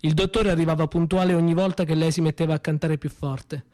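A man narrating a theatrical monologue in a measured, grave manner with dramatic pauses. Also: fairly high pitch, steady tone, darkish tone, no echo, studio quality. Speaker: storyteller.

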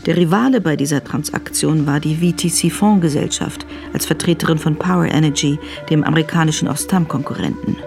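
A woman's voice: low voice, a little fast, creaky, few pauses. A woman narrating a German radio drama in a sober, precise scripted style. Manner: sober, precise present-tense telling. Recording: music behind the voice.

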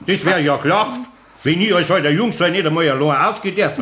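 Older Bavarian craftsman, played by a male actor, radio play: slightly high male voice, homely, good-natured, grumbles gently. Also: a little fast, close to an everyday voice. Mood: firm, grumbling. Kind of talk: radio play acting, naturalistic dialect dialogue.

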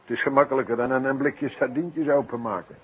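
A man's voice: mid-range voice, steady tone, a little fast, a bit bright, close to an everyday voice. A man playing a frank circus man in a 1960s Dutch radio drama, confiding in an emphatic, matter-of-fact way. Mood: grave, confiding. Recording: dull, noisy old radio recording.

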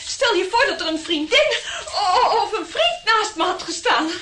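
A woman's voice: very high voice, expressive, moderate pace, bright, forceful tone, a little hiss or hum, heavily acted. An actress playing a detective's anxious, admiring woman client, coaxing and affectionate, in a Dutch radio drama. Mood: shaken, relieved.

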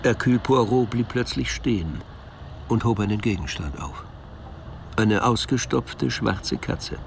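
A man narrating a radio crime play in a measured, grave literary style. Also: on the low side, expressive, gravelly, slight background noise.

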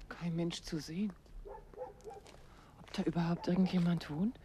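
An actress playing an uneasy young wife speaking in hushed tones in a 1950s radio drama. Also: female voice, fairly low pitch, fairly even intonation, moderate pace, noisy background, close to an everyday voice.

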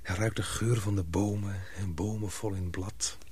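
A man's voice: lowish voice, fairly even intonation, moderate pace, a little mellow, slight background noise, natural voice. A man playing a dutiful young English fiancé in a radio drama, thoughtful and restrained. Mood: tender, reflective.

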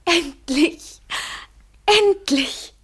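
A woman's voice: extremely high pitch, drawn-out words, a bit bright, exaggerated voice. An actress playing a devoted, anguished woman in love in a literary radio play, theatrical and overwrought. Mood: yearning, surrendering. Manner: theatrical, emotionally overwrought, breathy at times. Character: surrendering, devoted female lover, fervent and anguished.

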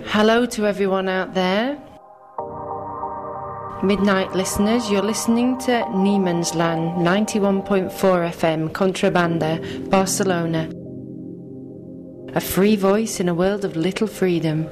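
A woman's voice: medium pitch, steady tone, unhurried. A woman reading a scripted station ident as a radio announcer. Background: music behind the voice.

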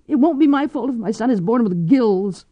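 A woman's voice: on the high side, lively intonation, normal speed, no room sound, pitched above the performer's own voice. A woman voicing a frightened, tearful pregnant young woman with an urgent manner. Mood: pleading. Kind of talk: audiobook character voice. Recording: dull lo-fi audio.

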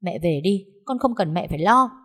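Woman voicing bitter shrill grown daughter. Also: animated, fairly quick, natural voice. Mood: resentful. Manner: shrill, accusing, tearful. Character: angry grown daughter, sharp-tongued and bitter.

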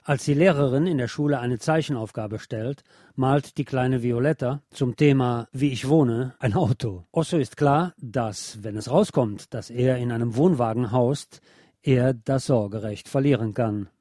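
Mellow-voiced male radio reviewer, roomy sound. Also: mid-range voice, unhurried, studio quality. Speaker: radio show host and film reviewer. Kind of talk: scripted film review for radio.

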